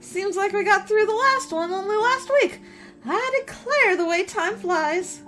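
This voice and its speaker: Very shrill, chatty country housewife. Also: female voice, measured pace, fairly bright tone, soft background music. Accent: rural American. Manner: gossipy, folksy. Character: chatty, folksy village housewife at a gathering. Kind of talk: radio drama acting.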